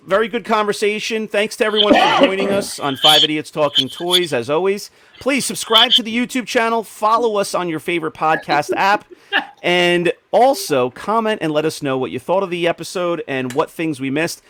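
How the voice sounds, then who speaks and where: A man's voice: high voice, animated, moderate pace, pushed and bright, a little hiss or hum. A man hosting a casual panel chat about his shared interests, talking enthusiastically. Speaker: podcast host.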